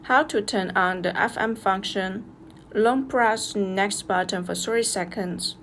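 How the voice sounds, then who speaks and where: A woman's voice: mid-range voice, takes it slowly, a bit bright, slight background noise. A woman reads a scripted product review as a narrator, going through instructions step by step.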